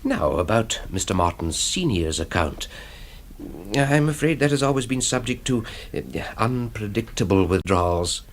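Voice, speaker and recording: An actor playing a genial, fussy older bank manager in a radio drama, hesitant and formally precise. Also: male voice, on the low side, animated, normal speed, a little hiss or hum, natural voice. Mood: careful, discreet. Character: fussy, genial elderly Scottish bank manager, discreetly thrilled.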